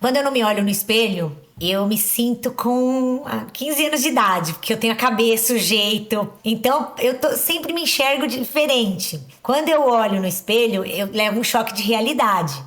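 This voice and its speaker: A woman in her fifties talks casually and chattily, filling her speech with small verbal fillers. Her voice is fairly high. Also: normal speed, hardly any pauses, a bit bright. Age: 50s. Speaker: podcast host. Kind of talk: casual personal reflection.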